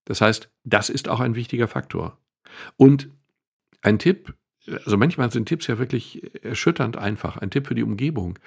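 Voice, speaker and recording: A man's voice: mid-range voice, lively intonation, moderate pace, vocal fry, a little mellow, breathy edge. A man co-hosting a podcast, explaining things thoughtfully at length with some dry humor. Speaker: podcast co-host. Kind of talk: casual explanatory chat.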